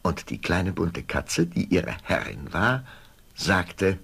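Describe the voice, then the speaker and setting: Deep gravelly man telling a fairy tale. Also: big pitch swings, slow, a bit bright, slight background noise. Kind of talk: scripted storybook narration for an audio play.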